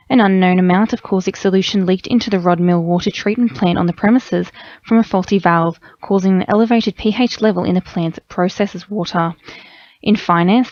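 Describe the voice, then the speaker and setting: A woman's voice: lowish voice, a little mellow. A woman with an Australian accent reading a scripted radio news bulletin. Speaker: radio newsreader. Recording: slightly dull radio audio.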